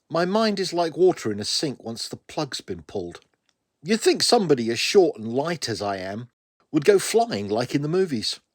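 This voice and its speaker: A man's voice: medium pitch, dry recording, clean studio sound. A British man voicing a wounded, stubborn young woman paramedic in a wry, musing first-person audiobook narration. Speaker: author reading own story.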